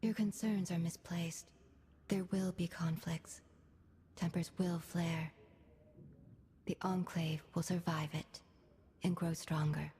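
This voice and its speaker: An actress playing a serene, austere alien mystic in a video game, speaking in formal, clipped, measured phrases. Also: female voice, lowish voice, fairly even intonation, a little hiss or hum. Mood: solemn, serene. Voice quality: soft, breathy, husky. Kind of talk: scripted video game dialogue. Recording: long reverberant hall.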